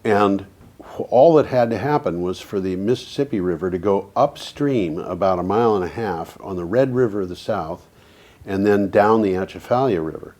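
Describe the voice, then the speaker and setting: A man's voice: sits low, normal speed, a little mellow. A man co-hosting a local community TV talk show about energy, telling stories in a rambling way, restarting sentences and trailing off. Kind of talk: casual studio chat.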